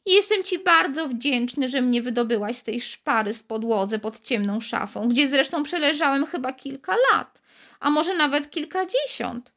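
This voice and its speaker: A woman voicing a small magic blue bead, a fussy male figure with a thin, high voice that grumbles impatiently. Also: moderate pace, a bit bright. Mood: grateful.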